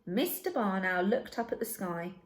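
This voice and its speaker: A woman reading a children's story aloud as narrator, in an expressive and deliberate manner. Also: medium pitch. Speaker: storybook reader.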